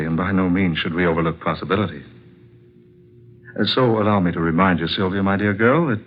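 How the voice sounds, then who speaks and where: An actor playing a suave, calculating killer in an old radio drama, with a formal, theatrical manner. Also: male voice, sits low. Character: suave, calculating killer, a man haunted by his guilt. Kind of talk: 1940s radio drama acting. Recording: muffled old radio recording with music.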